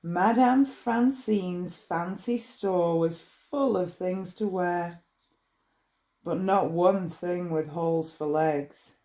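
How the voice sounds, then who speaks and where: A woman reading a rhyming bedtime story in a measured, expressive way. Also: fairly low pitch. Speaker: storybook reader.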